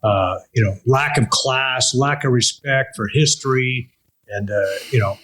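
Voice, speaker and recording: An older man with a Canadian accent, hosting an interview casually in a halting, rambling way. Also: medium pitch, a bit bright, slight background noise. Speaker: interview host, veteran broadcaster.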